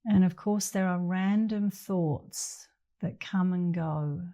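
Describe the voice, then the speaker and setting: Slow, mellow woman guiding meditation. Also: fairly low pitch. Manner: gentle, unhurried with long pauses. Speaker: meditation instructor. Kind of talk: guided meditation.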